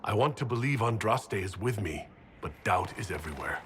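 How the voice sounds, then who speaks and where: A weary male hero of a fantasy game, full of doubt. His low voice has a tense, bright edge. He talks gravely and earnestly over faint background noise. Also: lively intonation, a little fast. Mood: weary, troubled. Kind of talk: dramatic game dialogue.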